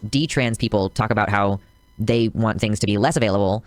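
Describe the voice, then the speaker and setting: A man's voice: on the low side, brisk, dry recording. A man in a video, giving an earnest, explanatory scripted commentary.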